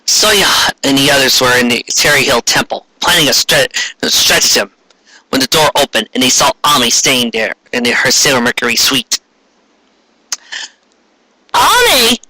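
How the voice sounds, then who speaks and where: A man's voice: mid-range voice, normal speed, bright, forceful tone, no room sound, rough recording. A man giving a dramatic comic reading of fan fiction, theatrical and stumbling over clumsy wording.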